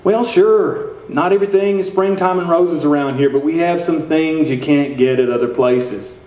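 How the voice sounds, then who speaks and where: A man's voice: a little high, normal speed, a little mellow, close to an everyday voice. A man on stage playing a folksy, sincere small-town radio host, reading in old-time radio show style. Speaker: radio announcer. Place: indoor theater stage. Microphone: stand mic, heard through far camera. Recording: echoey stage hall, distant muffled audio.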